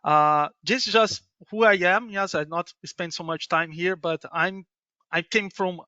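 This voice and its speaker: Brazilian-accented man, bright high voice. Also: fairly even intonation, dry recording, muffled recording. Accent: Brazilian Portuguese. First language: Portuguese. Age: middle-aged. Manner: halting non-native English with many restarts and "yes" tags. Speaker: business development manager presenting a webinar. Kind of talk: webinar presentation.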